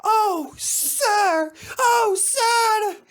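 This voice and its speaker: A man voicing an eager, excitable young male steam engine in a storybook reading, breathless and bubbly. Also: extremely high pitch, drawn-out words, voice pushed up, exaggerated voice. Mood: ecstatic.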